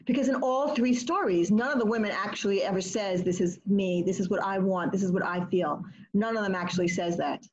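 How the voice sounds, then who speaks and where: A woman's voice: mid-range voice, fairly quick, few pauses, darkish tone. Earnest woman teaching over a video call. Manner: earnest, repeats phrases while thinking aloud. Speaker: teacher leading an online study session. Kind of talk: online class discussion. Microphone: laptop microphone on a video call. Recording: dull lo-fi video call audio.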